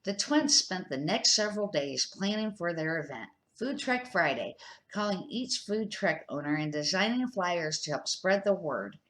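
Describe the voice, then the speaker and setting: A woman's voice: lowish voice, expressive, moderate pace, fairly bright tone. An older woman reading a children's storybook aloud as a grandmother would, an amateur who now and then stumbles and corrects herself.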